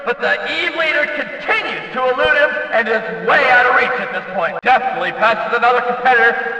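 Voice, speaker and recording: An excitable male race announcer for a racing video game, pitched extremely high and piercingly bright. He belts out dramatic, emphatic calls that ring out with heavy echo. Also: exaggerated voice. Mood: excited. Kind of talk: hyped race commentary. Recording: echoey hall, distant from the mic.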